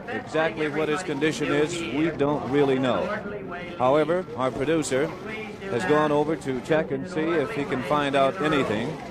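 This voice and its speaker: Male live news correspondent, higher-set voice, urgent delivery, archival sound with heavy crowd din. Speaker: broadcast news reporter. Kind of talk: live breaking news report. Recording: archival broadcast amid very loud crowd. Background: loud crowd commotion.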